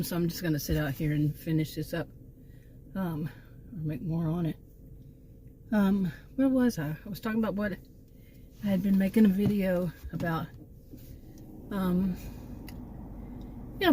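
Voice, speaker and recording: A woman's voice: mid-range voice, dark tone, slight background noise. A woman from Texas with a Texan accent, talking in a rambling, chatty way full of fillers and self-corrections as a channel host sitting outside near woods. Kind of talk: casual vlog monologue. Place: outdoors near woods and a park.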